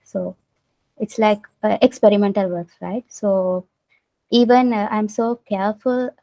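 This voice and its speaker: A woman's voice: mid-range voice, fairly even intonation, a little slow, mellow. A woman with a Sri Lankan accent answering interview questions as an artist, hesitant and searching for words. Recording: dull lo-fi recording.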